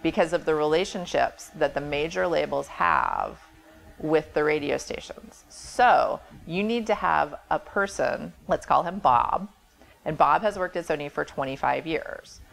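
A woman's voice: deep voice, very animated, unhurried, vocal fry. A woman in her forties explaining things conversationally as an interview guest, recorded indoors on a lapel mic. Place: small office indoors. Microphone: clip-on lapel mic.

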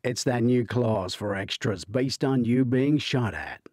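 Guy doing a stubborn earnest tech guy for an audiobook, husky, defensive, dry close sound. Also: medium pitch, a little slow, darkish tone, no room sound, voice pushed up. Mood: matter-of-fact.

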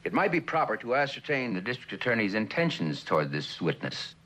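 An actor playing a grave, authoritative judge speaking formally in a 1960s television courtroom drama. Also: male voice, on the low side, very animated, a little fast, fairly bright tone. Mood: grave, official.

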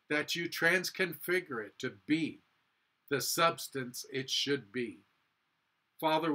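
Male preacher, 60s. Sermon and prayer, deliberate short phrases, unhurried pace, firm bright tone. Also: mid-range voice. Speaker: pastor. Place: indoor room with a cross, small chapel or office.

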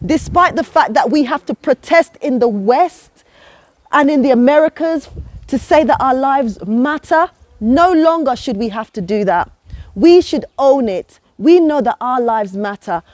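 A woman's voice: a little high, a little mellow, no echo. Chatty woman vlogging on a walk. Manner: chatty, persuasive, addresses viewers as guys. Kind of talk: casual walking vlog. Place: outdoors on a quiet suburban street. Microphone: clip-on lapel mic.

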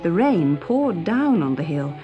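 Lady reading a kids story, really lively delivery, music playing behind. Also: lowish voice, a little slow, highs cut off. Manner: expressive, clearly phrased storytelling. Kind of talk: scripted children's story narration.

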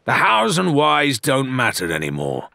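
Man playing commanding pirate captain, raised forceful voice. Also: medium pitch, wildly swooping pitch, measured pace, creaky, no echo, pitched above the performer's own voice, heavily acted. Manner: forceful, roaring authority.

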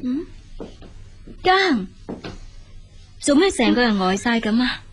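A hard-working elder sister and young mother in a Hong Kong Cantonese radio play. She has a fairly high voice and looks after everyone. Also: sing-song, slow, noisy background. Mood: caring. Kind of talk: radio drama acting.

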